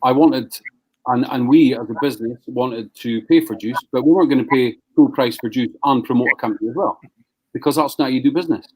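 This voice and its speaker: A man's voice: mid-range voice, a little fast, a little mellow, husky, rough recording. Blunt swearing man ranting live. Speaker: live show host, business owner. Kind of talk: profane ranting on a live stream.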